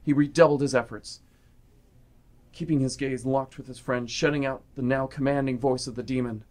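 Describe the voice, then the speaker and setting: A man's voice: medium pitch, fairly even intonation, normal speed, a little mellow. A man reading scripted, dramatic story narration for a radio play.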